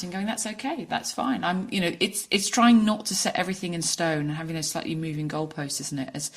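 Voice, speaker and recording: A woman, hosting a health interview in a conversational style. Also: sits low. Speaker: podcast and radio host.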